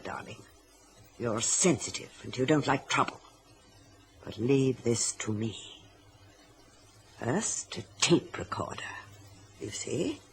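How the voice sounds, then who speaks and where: A woman's voice: very deep voice, a little hiss or hum, full character voice. An actress playing a scheming, middle-aged mother in an old radio drama, imperious and silky in manner. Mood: cold, determined. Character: scheming, domineering mother with a sweet, coaxing front.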